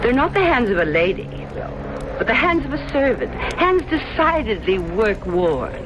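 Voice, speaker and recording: A woman's voice: fairly high pitch, big pitch swings, moderate pace. An actress playing a nosy, condescending married society lady in a 1970s radio drama, arch and insinuating. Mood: suspicious, confiding. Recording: muffled, noisy old radio recording.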